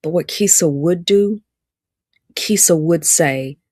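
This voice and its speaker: A woman's voice: on the low side, slow pace, warm tone, breathy edge, dry recording, clean studio sound. Emphatic Alabama woman in her fifties. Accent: Southern US, Alabama. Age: 50s. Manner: emphatic, preacher-like, repeats lines for stress. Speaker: relationship speaker. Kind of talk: livestreamed motivational talk.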